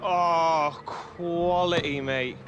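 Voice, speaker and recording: A cocky young English footballer, the chatty best mate in a game story. His voice sits fairly high for a man, and music plays clearly behind him. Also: steady tone, extremely slow, fairly bright tone, highs cut off. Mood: upbeat, joking. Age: young adult. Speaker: actor in a football game's story mode. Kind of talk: naturalistic cinematic game dialogue.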